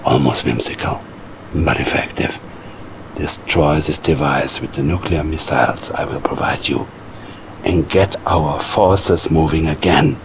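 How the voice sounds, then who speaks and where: An actor playing a sinister, cunning Soviet psychic mastermind in a game cutscene, silky and menacing, with a Russian accent. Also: male voice, rumbling low, a little slow, noisy background. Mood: commanding, scheming. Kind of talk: theatrical game cutscene acting.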